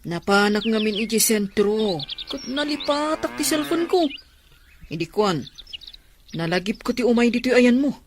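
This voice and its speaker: A woman's voice: a little high, lively intonation, moderate pace, a crowd in the background, lightly acted. A woman playing a frank, concerned friend in an audio drama, chatty and reproachful. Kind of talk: Ilocano audio drama dialogue.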